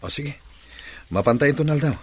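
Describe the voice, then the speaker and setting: A man's voice: on the high side, lively intonation, normal speed, breathy edge. A young man acting a blunt single office worker in an Ilocano radio drama. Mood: joking, friendly.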